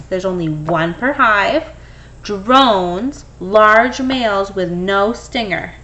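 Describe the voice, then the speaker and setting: High-voiced woman reading aloud, slow and animated. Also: highs cut off. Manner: expressive, acts out dialogue. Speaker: picture book reader. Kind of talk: animated children's read-aloud.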